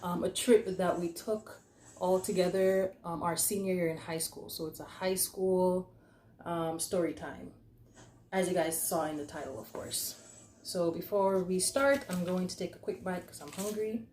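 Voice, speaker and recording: Young woman doing a chill storytime vlog, kinda low voice, echoey room, bit of noise. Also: normal speed, darkish tone. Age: young adult. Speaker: vlog host telling a personal story. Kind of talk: casual chat, storytime while eating. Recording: roomy echo, some background noise.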